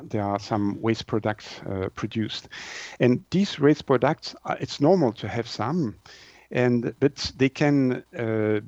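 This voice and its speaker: Halting man, slightly muffled sound. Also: medium pitch, darkish tone, dry recording. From Switzerland. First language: French. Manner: halting, hesitant delivery with word searching. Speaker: naturopath, interview guest. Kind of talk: explanatory interview answers.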